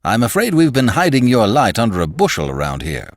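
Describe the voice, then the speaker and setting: A man's voice: medium pitch, huge pitch swings, fairly quick, no echo, clean studio sound, pitched above the performer's own voice. A man voicing a genial, fussing older magazine publisher in a storybook, with a hearty, cajoling, emphatic manner. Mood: persuasive.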